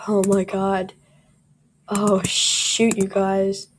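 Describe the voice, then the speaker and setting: A woman's voice: medium pitch, completely flat delivery, very slow. A young woman with a scratchy voice doing casual gameplay commentary, jittery and cursing as she talks to viewers.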